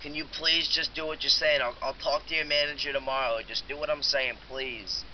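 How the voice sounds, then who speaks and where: A man's voice: on the high side, steady tone, a little fast, close to an everyday voice. Man posing as pushy technician, muffled hissy call. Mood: urgent, pleading. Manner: insistent, pleading, repetitive. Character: pushy, insistent male phone security-company technician. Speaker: prank caller. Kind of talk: prank phone call. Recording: dull lo-fi phone recording with hiss.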